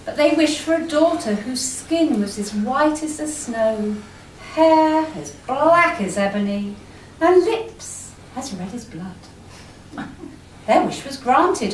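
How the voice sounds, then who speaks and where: Animated high-voiced woman narrating a pantomime. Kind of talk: live scripted storytelling with ad-libs. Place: live stage in a room. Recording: echoey, noisy hall from far off.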